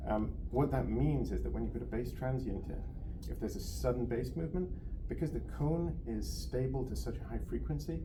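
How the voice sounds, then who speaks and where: A man giving a detailed, articulate technical product explanation as an interviewee. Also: mid-range voice. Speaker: company representative being interviewed. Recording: clear background room noise.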